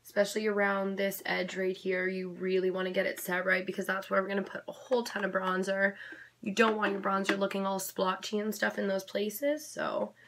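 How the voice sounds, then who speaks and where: A young woman giving a casual makeup tutorial in a chatty, gentle instructional way, her voice a little off because she is coming down with something. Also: medium pitch, flat delivery, brisk, background noise. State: slightly sick, voice a bit off. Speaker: makeup vlogger.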